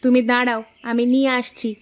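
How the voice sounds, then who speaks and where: Woman plays a village mum and wife for a cartoon, higher-pitched, naggy, down-to-earth. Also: flat delivery, normal speed, lightly acted. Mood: practical. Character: nagging, practical village wife and mother. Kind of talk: cartoon moral-story dialogue.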